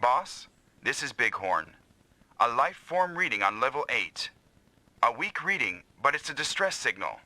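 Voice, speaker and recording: An actor voicing a dutiful, earnest military operator in an anime-style video game, with crisp briefing delivery. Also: male voice, medium pitch, big pitch swings, measured pace, bright, forceful tone, a little hiss or hum, heavily acted. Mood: businesslike. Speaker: operations and communications officer.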